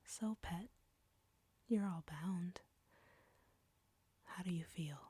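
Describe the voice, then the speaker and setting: A woman performs an arrogant, flirtatious female vampire in an intimate audio roleplay. Her voice is a low, very breathy whisper, close and dry. She taunts and commands at an unhurried, domineering pace. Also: warm tone, no echo, exaggerated voice. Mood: teasing, smug.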